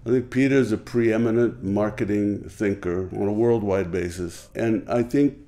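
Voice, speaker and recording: Male tribute speaker, low and mellow, measured praise. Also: fairly even intonation. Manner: measured and admiring, piling up qualities. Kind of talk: tribute interview.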